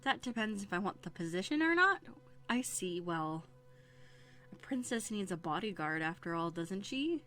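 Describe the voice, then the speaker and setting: A woman's voice: medium pitch, big pitch swings, moderate pace. A woman playing a young runaway princess in a roleplay audio drama, playful and plucky, with a conversational, teasing manner. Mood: teasing, cheerful. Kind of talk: scripted one-sided roleplay audio drama. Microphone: close USB condenser microphone. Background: soft music.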